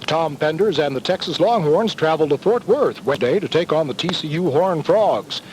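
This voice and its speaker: A man's voice: on the high side, animated, normal speed. A man reading a scripted sports promo and station identification on radio. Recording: noisy old radio broadcast.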